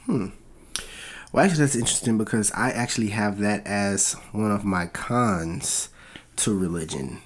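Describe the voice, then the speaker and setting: A man co-hosting a podcast with his wife, a little raspy from a cold, talking in an earnest, loose way with many fillers. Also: on the low side, normal speed. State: has a cold, slightly raspy. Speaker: podcast co-host. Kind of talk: casual podcast chat.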